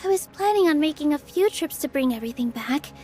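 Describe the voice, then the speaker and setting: A woman playing a gentle, modest young theater dancer in a video game, with a polite, friendly manner. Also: high-pitched, animated, fast talker. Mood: cheerful, flustered. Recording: game dialogue over clear background music. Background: music.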